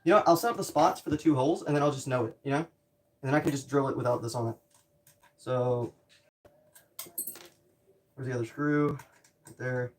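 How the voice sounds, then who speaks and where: A man's voice: medium pitch, fairly quick, pauses often, a little mellow. A man livestreaming a hands-on build, muttering to himself and chatting casually with a wry, self-deprecating manner. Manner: muttering to himself while working. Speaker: streamer building DIY projects. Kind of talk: casual livestream chat while working. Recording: distant mic with background noise, clicking.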